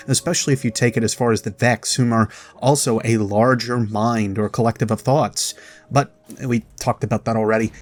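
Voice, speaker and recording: A man hosting a video, giving chatty, explanatory commentary as a voice-over about game lore. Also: medium pitch. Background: music.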